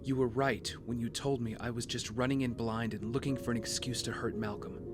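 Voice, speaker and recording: A man plays a young vigilante hero weighed down by guilt. He speaks earnestly and with measured care, as if confessing, in a medium-pitched voice. A prominent music bed runs underneath this audio drama performance. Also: fairly even intonation, natural voice. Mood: contrite.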